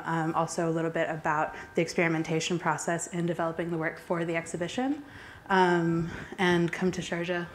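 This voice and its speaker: A woman curator opening a panel talk in a casual, halting way with many fillers. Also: fairly low pitch, a little hiss or hum. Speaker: curator and moderator. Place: gallery talk space, indoors. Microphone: handheld mic.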